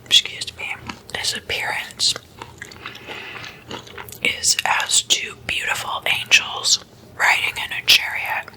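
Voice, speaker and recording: A person whispering in a breathy, unvoiced voice, chatting and explaining as an ASMR host in a deliberate manner. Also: poor audio. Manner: slow, deliberate, with drawn-out pauses.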